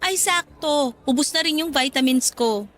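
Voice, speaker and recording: A woman's voice: high-pitched, moderate pace, a bit bright. An actress playing a jealous, sulky young woman in a Tagalog radio drama, talking in an accusing, defensive way. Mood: casual.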